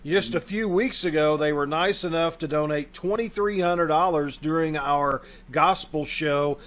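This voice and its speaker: A man's voice: on the high side, a little slow, a bit bright. A man giving a casual spoken introduction and announcements as host of a community radio theater show, speaking over a video call.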